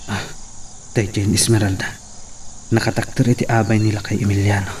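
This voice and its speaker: A man's voice: on the low side, level tone, fairly quick, a little mellow, recorded from a distance, slight background noise, natural voice. An actor playing an obedient but dangerous henchman of a landowner in an Ilocano radio drama. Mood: deferential.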